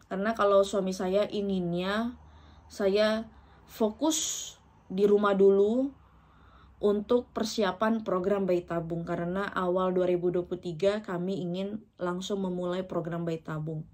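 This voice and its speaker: Indonesian woman in her 30s vlogging, emotional and chatty, laughs a lot, talks right to viewers, normal mid pitch. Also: steady tone, unhurried, darkish tone. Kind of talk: casual personal vlog talk to viewers.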